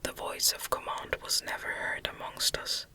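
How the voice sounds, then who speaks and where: A woman whispering a classic Gothic novel as an ASMR audiobook, hushed and measured, close to the microphone. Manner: hushed, measured, unvoiced delivery. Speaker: audiobook reader.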